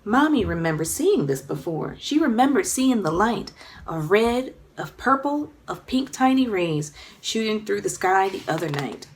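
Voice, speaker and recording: Woman reading a storybook with creaky voice. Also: mid-range voice. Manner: expressive, dramatic storytelling. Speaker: children's author reading own book. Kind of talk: scripted storybook narration read aloud.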